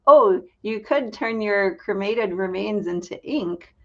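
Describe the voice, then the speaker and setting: A middle-aged woman hosting a casual interview, with informal, halting phrasing. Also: mid-range voice, a bit bright, muffled recording. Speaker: podcast host.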